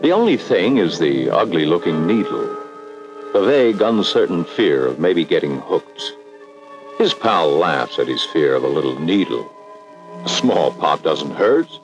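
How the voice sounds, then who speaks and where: Deep, dramatic American male narrator. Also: very animated, measured pace, low-quality recording. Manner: grave, ominous, emphatic. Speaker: narrator of an educational film. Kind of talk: dramatic scripted 1960s documentary narration. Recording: vintage 1960s film soundtrack. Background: dramatic background music.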